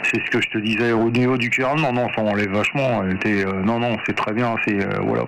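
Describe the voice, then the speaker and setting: A man's voice: fairly low pitch, steady tone, quick pace, gravelly. A man chatting casually over amateur radio, in a rambling, repetitive, informal way. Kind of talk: casual radio contact chat. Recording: noisy radio transmission with clicks.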